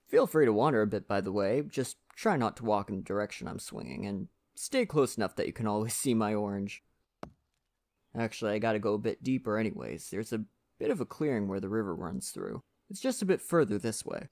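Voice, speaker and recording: A man acts out a friendly lumberjack in an intimate ASMR roleplay. His voice is soft and unhurried, with gravelly creak at the edges. The pitch moves in an animated way as he gently reassures the listener in plain, folksy fashion. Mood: gentle, reflective. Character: friendly Canadian lumberjack, gentle nature-loving father.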